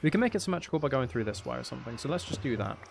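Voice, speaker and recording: Gravelly man chatting over a game. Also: mid-range voice, animated, rapid-fire, noisy background. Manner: rambling, self-mocking, sweary, thinking aloud. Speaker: gaming video host. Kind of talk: casual chatty Minecraft game commentary.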